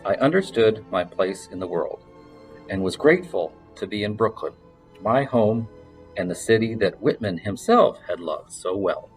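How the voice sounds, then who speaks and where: A man reading literary fiction aloud in a measured, expressive storytelling style, like an audiobook narrator. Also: fairly low pitch, soft background music, rough recording.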